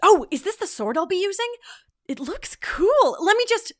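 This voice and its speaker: A woman's voice: very high-pitched, big pitch swings, moderate pace, no echo, studio quality. A woman playing an eager, impatient otherworldly agent, wry and informal, in a fantasy audio drama. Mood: excited, impatient.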